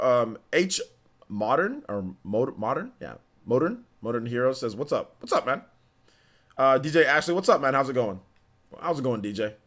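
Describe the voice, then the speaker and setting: A young man chatting on his live stream, reading viewer questions aloud and answering them off the cuff. His voice sits at an ordinary middle pitch, and he loops back over his own words with fillers and restarts. It sounds relaxed and unscripted. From California. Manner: rambling, repeats himself, many restarts and fillers.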